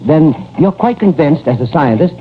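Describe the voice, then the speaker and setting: An actor playing a courteous, eager male radio news commentator, speaking formally and a little haltingly in a 1930s radio drama. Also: fairly high pitch, animated, natural voice. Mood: formal, inquiring. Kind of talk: 1930s radio drama live-broadcast reporting. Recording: scratchy, tinny 1930s radio recording.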